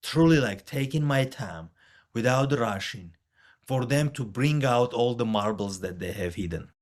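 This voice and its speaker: A man's voice: medium pitch, animated, a little slow. Earnest man explaining his advising approach. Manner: earnest, explanatory, careful phrasing. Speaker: financial advisor guest. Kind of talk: conversational interview.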